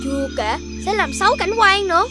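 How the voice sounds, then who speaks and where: A voice actor playing a small, earnest, outspoken cartoon child with exclamatory, drawn-out phrasing. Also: extremely high pitch, lively intonation. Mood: scolding. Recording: loud children's music behind voice.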